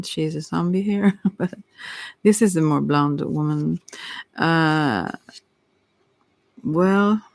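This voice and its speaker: Non-native speaker doing a casual tarot reading, real slow and hesitant, dry close sound. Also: medium pitch, darkish tone, no room sound. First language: not English. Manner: hesitant, halting, searching for words. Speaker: tarot reader.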